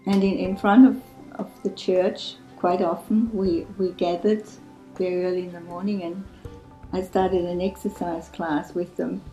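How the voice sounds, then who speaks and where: A woman's voice: on the low side, little pitch movement, unhurried, mellow, reverberant, faint music behind, rough recording. An older woman recounting her time as a church volunteer, hesitant and full of fillers. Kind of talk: interview testimony.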